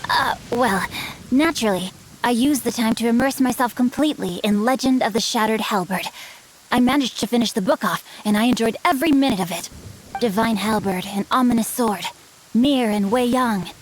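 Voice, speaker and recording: A woman's voice: fairly high pitch, moderate pace, natural voice, rough recording. A woman playing a bookish, refined, boyish young nobleman in a video game, speaking in a formal, theatrical manner. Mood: rapturous. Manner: eloquent, formal, dramatic. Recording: game audio with noise behind.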